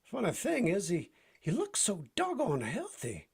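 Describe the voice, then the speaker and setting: A man's voice: high voice, huge pitch swings, measured pace, vocal fry, breathy edge, exaggerated voice. A man playing a talkative, eager, folksy adventurer in a vintage-style radio drama, full of ungrammatical drawling slang. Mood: amazed, folksy. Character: folksy, talkative, eager male cowboy-type adventurer, Doc.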